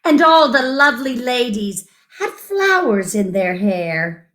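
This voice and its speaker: A woman's voice: fairly high pitch, very animated, slow, a bit bright. A woman reading a picture book aloud to children as a storyteller, with dramatic emphasis and asides to her listeners.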